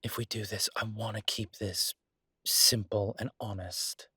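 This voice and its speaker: A man playing a devoted, easygoing chef and reassuring partner in an intimate audio roleplay, speaking softly and haltingly with a husky voice. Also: fairly low pitch, steady tone, dry recording, clean studio sound, heavily acted. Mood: musing, frank.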